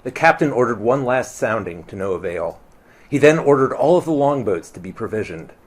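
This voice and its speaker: Mid-pitched man narrating a novel. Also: animated, a little fast, slightly rough.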